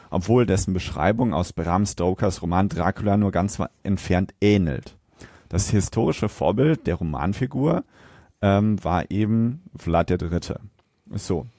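A man with a German accent hosting a podcast, explaining facts in a casual, halting way. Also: deep voice, mellow, dry recording, clean studio sound. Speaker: podcast host.

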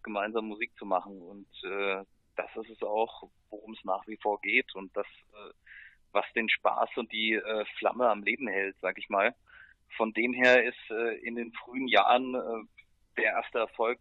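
A man speaking casually and colloquially as a musician in an interview, with hesitant pauses and filler sounds. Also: on the low side, dry recording, narrow, tinny audio. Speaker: metal band musician, interview guest.

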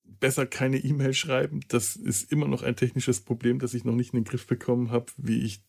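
A man's voice: mid-range voice, level tone, a little fast, vocal fry, darkish tone. A man hosting a casual podcast, rambling and stumbling over his words. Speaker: podcast host.

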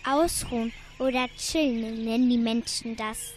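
A woman's voice: fairly high pitch, fairly even intonation, measured pace, slight background noise, lightly acted. A woman playing a chatty, eager lost earworm in a children's radio play, explaining things at hesitant length.

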